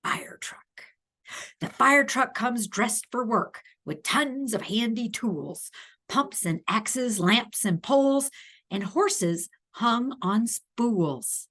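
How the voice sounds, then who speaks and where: A woman reads a picture book aloud for small children at an unhurried pace. Her pitch swings up and down in a lively way as she leans on key words. She adds playful asides to the child as she goes. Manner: animated, deliberate emphasis with playful asides to the child. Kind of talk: expressive read-aloud for young children.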